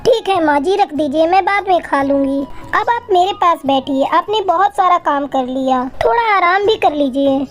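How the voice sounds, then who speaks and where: Casting: woman as hardworking young bird wife, tiny high cartoon voice, polite, earnest, faint music behind. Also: lively intonation, moderate pace, hardly any pauses. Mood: sweet, polite.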